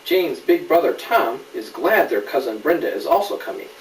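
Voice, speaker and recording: A man's voice: fairly high pitch, big pitch swings, moderate pace. A father reading a story aloud to a child, patiently explaining and asking questions in casual asides. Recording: roomy, distant mic, faint background noise.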